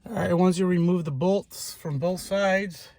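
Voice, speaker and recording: A man giving an unscripted hands-on how-to, explaining step by step in a halting, repetitive way as a tutorial presenter. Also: high voice, fairly even intonation, a little mellow. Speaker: DIY car tutorial presenter. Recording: noisy amateur recording with clicking.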